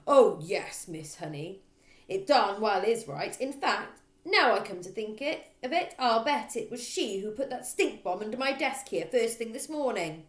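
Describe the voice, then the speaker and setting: A woman voicing a bullying middle-aged headmistress in a storybook, who barks and shouts with open contempt. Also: medium pitch, lively intonation, unhurried, roomy sound, pitched above the performer's own voice, natural voice. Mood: scornful, loud.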